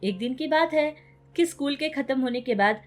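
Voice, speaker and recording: A woman's voice: high voice, lively intonation, moderate pace, soft background music. A woman storyteller reading scripted narration for a children's moral story.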